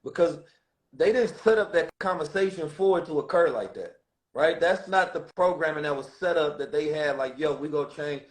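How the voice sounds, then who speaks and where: A man's voice: a little high, moderate pace, poor audio. A man in a casual conversation, a guest, building his point earnestly with a few restarts.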